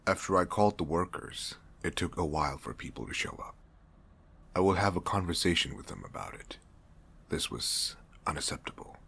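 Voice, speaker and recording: A man's voice: low voice, expressive, normal speed, gravelly, a bit bright, husky, exaggerated voice. A man playing a stern, impatient manager in a crime ring, with a clipped, exacting delivery, in an audio drama. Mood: formal, disapproving. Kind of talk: scripted audio roleplay acting.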